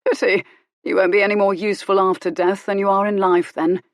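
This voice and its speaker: A woman voicing a gentleman hero who threatens with glacial politeness. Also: mid-range voice, level tone, fairly quick, dry recording, studio quality, natural voice. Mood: icy menace. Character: cold, menacing young gentleman hero, icily polite.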